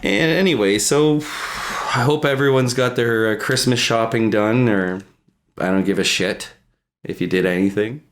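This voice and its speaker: A man's voice: lowish voice, unhurried, slight background noise. A man co-hosting a comedic podcast, chatting casually and joking with plenty of profanity. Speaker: podcast co-host.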